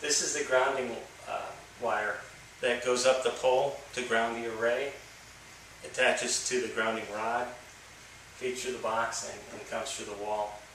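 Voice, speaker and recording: Bright-voiced middle-aged man explaining wiring. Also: mid-range voice, measured pace, a little hiss or hum. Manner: plain step-by-step explaining, relaxed. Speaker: DIY instructor. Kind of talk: hands-on how-to demonstration. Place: outdoors by a house, then indoor workshop. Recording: slightly distant camera audio with faint ambience.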